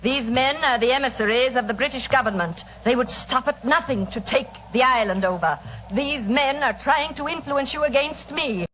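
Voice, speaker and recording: An actress playing a menacing, domineering older woman guardian in 1940s radio drama, commanding, mocking and deliberate. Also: high-pitched, a bit bright. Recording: noisy 1940s radio recording.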